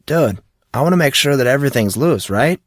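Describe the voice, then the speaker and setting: A man's voice: mid-range voice, expressive, fairly quick, no echo, clean studio sound, voice pushed up, lightly acted. A man voicing a casual, slangy teenage boy in an audiobook. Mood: casual, brisk. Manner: slangy, offhand. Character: casual teenage boy, eager martial-arts friend.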